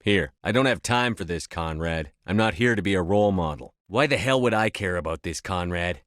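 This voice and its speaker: A man's voice: low-pitched, animated, brisk, a bit bright, dry recording, clean studio sound. An actor playing a blunt, resolute male military commander in a sci-fi video game, with terse, assertive, controlled delivery. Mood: firm, composed, then curt, stern. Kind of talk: scripted video game dialogue.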